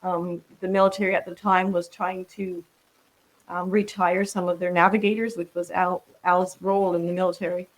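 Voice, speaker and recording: A woman in her sixties talks in a reflective, casual way. Her voice sits a little low. She pauses and restarts often. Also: level tone, rough recording. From Netherlands. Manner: warm, reflective, with frequent ums and restarts. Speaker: guest. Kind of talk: casual interview conversation.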